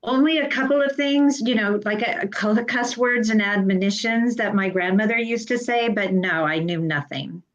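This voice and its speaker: A woman in her sixties from California, a guest student speaking thoughtfully with pauses on a video call. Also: on the high side, highs cut off. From California, United States. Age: 60s. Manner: thoughtful, halting, many fillers.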